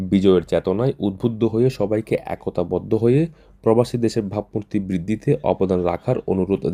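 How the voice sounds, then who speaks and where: A male reporter with a Bangladeshi accent narrates a scripted news package. His voice is deep, with a dark, mellow tone. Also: a little hiss or hum.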